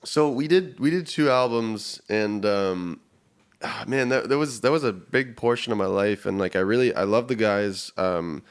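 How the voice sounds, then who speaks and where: A man's voice: fairly low pitch, moderate pace, clean tone. A man in his thirties, a musician guest, chatting casually and reflectively on a podcast. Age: 30s. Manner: laid-back and reflective, swearing.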